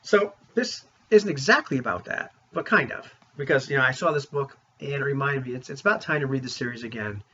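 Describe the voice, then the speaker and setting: Husky man chatting casually, slightly dull sound. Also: mid-range voice, animated, fairly quick, hardly any pauses, pushed and bright. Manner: informal, rambling, opinionated. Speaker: vlogger on tabletop roleplaying games. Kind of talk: casual chat to viewers. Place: room at home.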